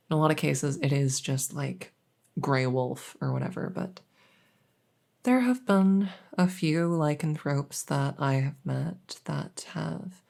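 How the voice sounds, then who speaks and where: A woman playing a polite, hesitant, matter-of-fact night vet in an ASMR audio roleplay, with a creaky voice. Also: very deep voice, darkish tone, exaggerated voice. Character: soft-spoken, polite night vet receiving a supernatural patient.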